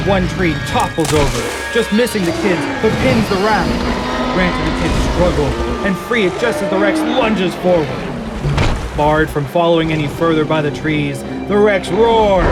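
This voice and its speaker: A man reading scripted dramatic narration for an audio drama in a suspenseful storytelling manner. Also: high-pitched. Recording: music and effects behind narration.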